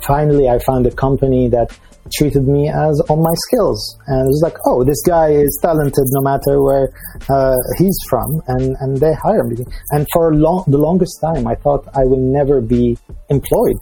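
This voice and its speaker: Syrian guy chatting, halting and restarting a lot, dark mellow husky tone, faint music behind. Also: medium pitch, poor audio. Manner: halting, many restarts and fillers. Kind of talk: casual conversation.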